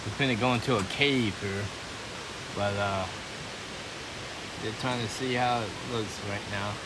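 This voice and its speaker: A man's voice: fairly low pitch, fairly even intonation, measured pace. A man casually narrating a tour he films on a handheld camera, outdoors and then inside a cave, often exclaiming in wonder. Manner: easygoing, keeps exclaiming wow. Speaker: tourist filming a tour. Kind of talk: casual vlog commentary. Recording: loud hiss and waterfall noise.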